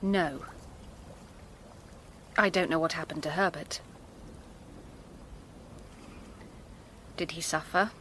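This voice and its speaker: A woman's voice: low-pitched, fairly even intonation, unhurried, pushed and bright, a little hiss or hum. An actress playing a determined, grave young woman in a radio drama, composed and probing. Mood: sorrowful, probing.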